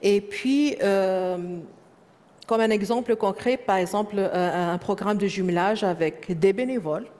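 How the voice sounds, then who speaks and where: A woman's voice: mid-range voice, a little slow, darkish tone. French-speaking woman presenting in an echoey hall. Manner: frequent fillers and repeated words. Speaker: presenter at a professional development session. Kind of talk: conference presentation.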